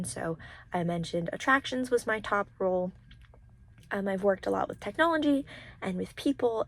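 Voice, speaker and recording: A young adult woman giving a casual vlog-style recap, talking in an informal, halting and rambling way. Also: mid-range voice. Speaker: vlogger, college program applicant.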